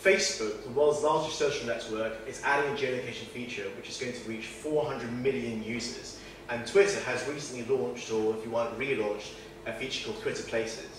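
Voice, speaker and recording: A man's voice: medium pitch, a little fast, hardly any pauses, far from the mic. A man giving an informal talk to a meetup audience, chatty and self-deprecating, with many fillers and restarts. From not from northern England. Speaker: presenter at a meetup. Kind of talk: informal presentation. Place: event room with audience. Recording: very echoey room, faint noise.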